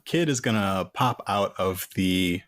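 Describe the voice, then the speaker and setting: A man's voice: low voice, slow pace, fairly bright tone, a little hiss or hum. A man playing a tabletop role-playing game, chatting casually as he works out his moves.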